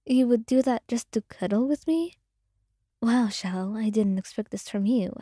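A woman voicing a shy, shaken young woman, speaking in a soft, hesitant whisper. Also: on the high side, measured pace, dry recording, studio quality. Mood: teasing.

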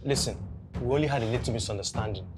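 A rapid-talking, insistent young man pushing his way in to see his girlfriend, as a woman puts on a lowered voice. He is demanding and quick to push back, and music plays clearly behind him in this skit drama. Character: pushy young male boyfriend, abusive.

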